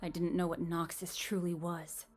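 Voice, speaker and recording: An actress playing a guilt-haunted young warrior woman in a video game, weary yet defiant, with restrained, measured delivery. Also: lowish voice, flat delivery, darkish tone, close to an everyday voice. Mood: weary, regretful. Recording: background music under game dialogue.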